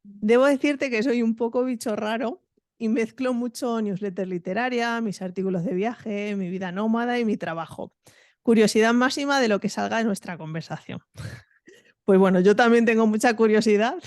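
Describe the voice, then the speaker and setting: A woman's voice: mid-range voice, a little mellow, no room sound, studio quality. Upbeat Spanish woman hosting a podcast. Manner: friendly, upbeat, quick laughs. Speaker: podcast host.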